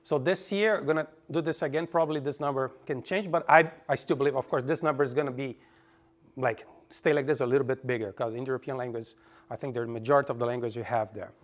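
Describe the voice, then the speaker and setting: A man's voice: medium pitch, fast. A man presenting at a conference, talking loosely with many restarts and quizzing the audience. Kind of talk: presentation talk with audience quiz.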